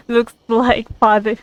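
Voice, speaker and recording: A woman's voice: fairly high pitch, no room sound. A woman giving casual gameplay commentary as a streamer, with a giggly way of talking. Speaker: game streamer.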